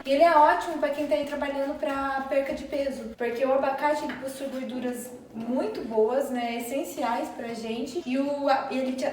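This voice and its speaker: A woman's voice: high voice, very echoey, recorded from a distance. A Brazilian woman hosting a home cooking tutorial, explaining the recipe in a chatty way with lots of filler tags. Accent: Brazilian Portuguese. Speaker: cooking show host. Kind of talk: bilingual cooking tutorial chat.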